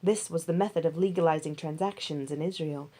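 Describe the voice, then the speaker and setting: A woman's voice: low-pitched, a little fast, warm tone. A woman reading scripture aloud as a storyteller, as in a recorded audiobook. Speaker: audiobook reader.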